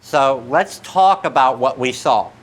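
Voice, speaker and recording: Mid-pitched male-sounding trainer coaching. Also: lively intonation, measured pace. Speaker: program manager and trainer. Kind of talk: instructional talk and coaching.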